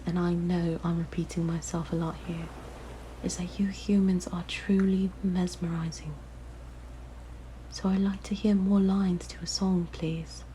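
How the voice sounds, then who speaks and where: A woman voicing a possessive, curious siren monster in an ASMR roleplay, speaking in a soft, breathy whisper with a gentle, coaxing manner. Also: on the low side, slight background noise, low-quality recording. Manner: gentle, wistful, fascinated, coaxing. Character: possessive, curious young female siren monster, softly luring. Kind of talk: ASMR roleplay, intimate monologue.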